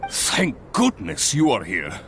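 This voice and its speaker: A man's voice: mid-range voice, huge pitch swings, takes it slowly, creaky, fairly bright tone, a little hiss or hum, heavily acted. A man playing a courteous, earnest event organizer in a video game, explaining things politely. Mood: worried, relieved. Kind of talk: acted game dialogue.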